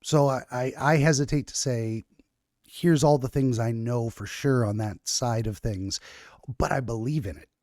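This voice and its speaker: A man's voice: medium pitch, normal speed, a little mellow, dry recording. Rambling man podcast host, dry sound. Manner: rambling, self-correcting, frequent restarts and fillers. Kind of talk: casual conversational interview.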